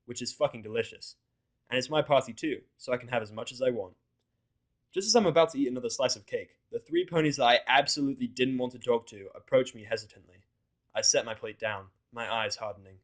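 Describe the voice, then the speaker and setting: Man narrating a blunt first-person story. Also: medium pitch. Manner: blunt, sweary. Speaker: audiodrama narrator of fan fiction. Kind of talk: first-person scripted narration with character voices.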